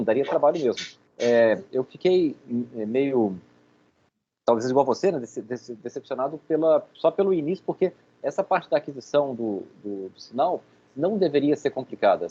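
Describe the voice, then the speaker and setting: A middle-aged man with a Brazilian Portuguese accent talks fast in a husky, mellow voice. He sounds like a professor giving feedback, explaining and correcting himself as he goes. The recording is dull and lo-fi. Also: mid-range voice. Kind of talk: informal teaching feedback.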